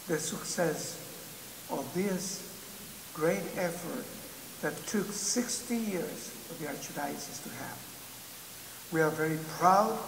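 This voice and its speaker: An older man, a church leader, giving a slow, measured video message with pauses and fillers, heard as it plays on a screen in a large church. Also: a little high, gravelly. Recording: echoey church hall with hiss.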